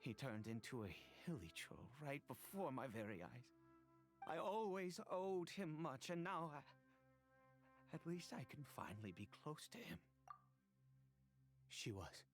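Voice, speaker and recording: A cursed, ancient nobleman from a fantasy game, worn down by grief and quick to turn volatile. His formal speech moves slowly and haltingly, with stammers, and his pitch rises and falls dramatically over background music. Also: sing-song. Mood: sorrowful reminiscence. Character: weary, grief-stricken cursed nobleman, centuries old, volatile. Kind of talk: dramatic video game voice acting.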